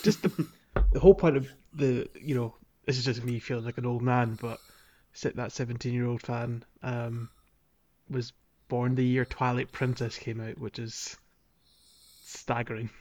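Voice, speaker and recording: A man's voice: mid-range voice, fairly even intonation, moderate pace, dark tone. Casual man chatting on a gaming podcast. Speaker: podcast co-host.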